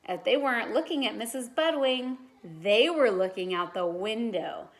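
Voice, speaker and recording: A woman's voice: a little high, expressive, normal speed. A woman reading a children's storybook aloud like a teacher, expressively and with dramatic emphasis.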